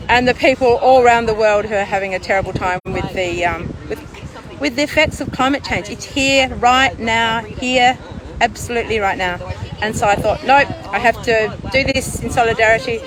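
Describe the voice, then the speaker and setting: A woman's voice: on the high side, low-quality recording. An older woman telling her protest story in a chatty, light-hearted way outdoors. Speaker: arrested protester. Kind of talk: casual storytelling interview. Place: outdoor city square. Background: live music nearby.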